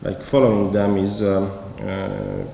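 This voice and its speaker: A man, a curator giving a conference lecture from a prepared paper, speaking haltingly with many pauses. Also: lowish voice, flat delivery, gravelly. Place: lecture hall. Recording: echoey hall, faint background noise.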